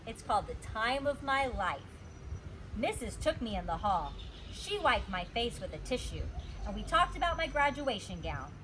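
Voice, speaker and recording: High-voiced lady doing storytime, super lively, acts out the lines, bit of noise behind. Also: normal speed. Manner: animated, acts out the dialogue. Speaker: teacher reading a storybook aloud. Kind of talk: expressive children's story read-aloud.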